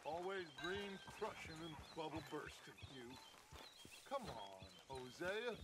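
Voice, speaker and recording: A folksy outlaw in a western game. He teases at an unhurried, slow pace, with an animated pitch and clear outdoor noise behind him. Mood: teasing, coaxing. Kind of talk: dramatic western game cutscene acting.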